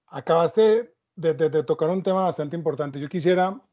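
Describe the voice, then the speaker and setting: High-voiced mellow man moderating. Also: normal speed. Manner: reflective, storytelling asides. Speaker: panel moderator. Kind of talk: academic panel talk. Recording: dull lo-fi sound.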